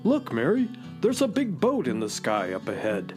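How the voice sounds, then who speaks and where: An actor playing a proud, deadpan, slightly huffy talking space horse in a children's radio play. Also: medium pitch, normal speed, over music. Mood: earnest.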